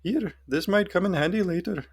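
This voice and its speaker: Man voicing a sly old goblin woman, pitched high. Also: normal speed, husky. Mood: sly, knowing. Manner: folksy, teasing and cryptic. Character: tiny wrinkled old goblin woman, sly, folksy, knowing wayfarer. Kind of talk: fantasy storytelling character voice.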